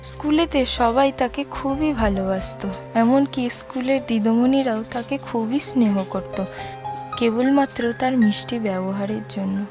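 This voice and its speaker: High-voiced woman narrating a story. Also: measured pace, over music. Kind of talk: scripted cartoon story narration.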